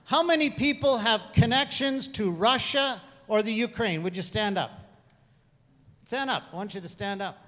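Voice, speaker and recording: A man with a high-pitched voice hosts a church service and introduces a guest. He is informal and halting, often repeating phrases. Long hall reverb surrounds his voice. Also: a bit bright. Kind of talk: informal spoken introduction to a congregation. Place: large room, church service.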